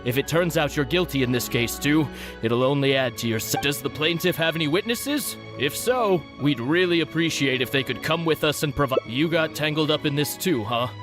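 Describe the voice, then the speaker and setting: Guy doing a businesslike cop in a video game, firm but polite, highish voice, music going behind him. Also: brisk, natural voice. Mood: firm, official. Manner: officious, businesslike. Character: brisk male officer of the law, polite but firm.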